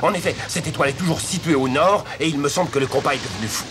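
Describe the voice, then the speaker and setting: A man's voice: fairly high pitch, lively intonation, rapid-fire, lightly acted. A man playing an anxious, superstitious male ship's officer in a cartoon, urgent and full of foreboding. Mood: alarmed, worried. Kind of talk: French animated series dubbing. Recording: music behind.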